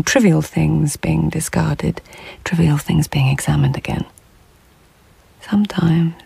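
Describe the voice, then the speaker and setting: An actress playing a reflective court lady of old Japan, narrating in a measured, poetic, breathy near-whisper for a radio drama. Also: fairly low pitch, warm tone, no room sound, full character voice. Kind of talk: intimate audio drama narration.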